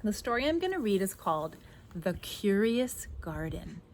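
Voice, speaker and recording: A woman reads a picture book aloud expressively, pausing to put guiding questions to young listeners. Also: medium pitch, sing-song. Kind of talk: expressive children's read-aloud with asides. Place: outdoors in a backyard garden. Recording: outdoor recording, faint noise, birdsong. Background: birdsong, faint outdoor noise.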